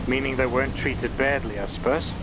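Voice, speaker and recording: A man with a medium-pitched voice plays a game and comments casually, thinking aloud in an easygoing way. Heavy noise and rain-like ambience sit behind him. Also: steady tone, brisk. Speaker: game reviewer and player. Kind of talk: casual gameplay commentary with on-screen text read aloud.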